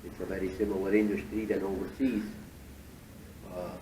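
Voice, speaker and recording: A man's voice: low voice, level tone, dark tone. Man guiding a factory tour. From Switzerland. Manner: unhurried, many fillers, slightly foreign phrasing. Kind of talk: explaining on a guided tour. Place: cheese factory floor. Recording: echoey factory hall, distant mic, machinery noise.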